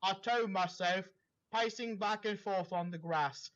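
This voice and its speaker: A man's voice: high voice, unhurried, fairly bright tone. A man reading a story aloud to friends in a casual way, over a dull lo-fi voice chat. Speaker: story reader.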